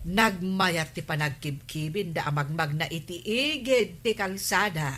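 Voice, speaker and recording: An actress playing a matter-of-fact, teasing village wife in an Ilocano comedy radio drama, with an Ilocano accent. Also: female voice, lowish voice, unhurried, fairly bright tone. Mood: brisk, teasing.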